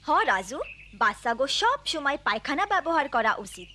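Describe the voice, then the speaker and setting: A woman's voice: high-pitched, very animated, moderate pace, pushed and bright, slight background noise, exaggerated voice. A woman playing a reassuring, knowledgeable villager in a children's cartoon, with a Bangladeshi accent. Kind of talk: children's educational cartoon acting.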